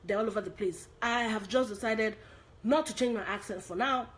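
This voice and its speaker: A woman with a Nigerian accent coaches actors in an online lesson. Her voice sits fairly high, and she drives each point home by repeating it and asking the audience direct questions. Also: normal speed. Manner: emphatic, repeats key points, asks rhetorical questions. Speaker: acting coach. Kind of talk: online acting lesson to viewers.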